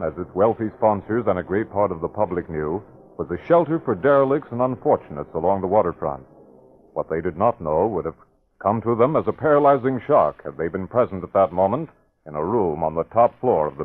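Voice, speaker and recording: A man narrating a radio drama in a measured, dramatic storytelling style. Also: sits low, lively intonation. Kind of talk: scripted narration. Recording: tinny old radio broadcast.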